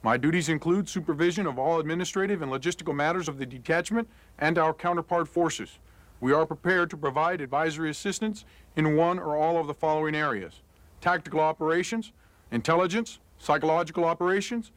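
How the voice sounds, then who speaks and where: A man, an army lieutenant and executive officer, delivers a formal, scripted introduction of his duties. He speaks steadily. His voice is a little high, and the recording is dry and close. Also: a bit bright, no room sound. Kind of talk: scripted military self-introduction.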